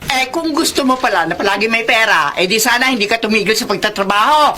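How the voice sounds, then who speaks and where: A woman's voice: fairly high pitch, animated, fast, bright, forceful tone. A woman playing a feisty, sarcastic middle-aged mother in a radio drama, scolding.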